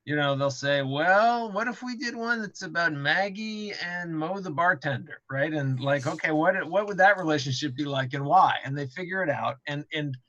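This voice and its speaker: Bright-voiced man thinking aloud, dull audio. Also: fairly high pitch, moderate pace, hardly any pauses, no room sound. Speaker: game developer on a panel. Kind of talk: casual panel discussion.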